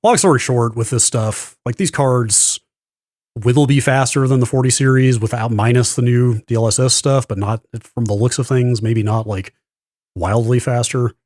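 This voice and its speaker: A man's voice: medium pitch, steady tone, normal speed, a little mellow, no echo, clean studio sound. A man co-hosting a podcast, explaining things casually and in detail with careful qualifications. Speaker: podcast host. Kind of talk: casual tech news discussion.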